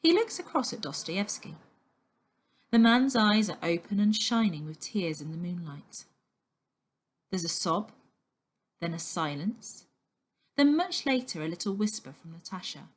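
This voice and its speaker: A woman's voice: mid-range voice, big pitch swings, unhurried, pauses often. A woman reading a children's novel aloud as scripted narration. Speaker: story reader.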